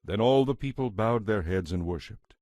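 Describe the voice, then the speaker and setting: A man narrating scripture in a solemn, measured and authoritative way, as part of a dramatized reading. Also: lowish voice, lively intonation, darkish tone, no echo, studio quality. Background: background music.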